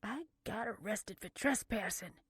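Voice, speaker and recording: A woman narrator voicing a stubborn, combative younger woman under arrest, arguing forcefully in an audiobook. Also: mid-range voice, expressive, unhurried, dry recording, clean studio sound. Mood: strained, hushed. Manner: forceful, argumentative, emphatic delivery.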